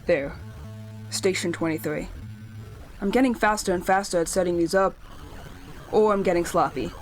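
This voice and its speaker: A woman's voice: on the low side, normal speed, soft background music, lightly acted. Woman playing persistent lone radio-station builder. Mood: resolute, lonely. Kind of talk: audio drama monologue.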